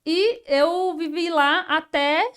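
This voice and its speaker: A woman's voice: extremely high pitch, takes it slowly, fairly bright tone. A woman in her thirties from Ceará, Brazil, a guest architect telling her life story in casual, chatty interview talk with frequent tag questions. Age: 30s.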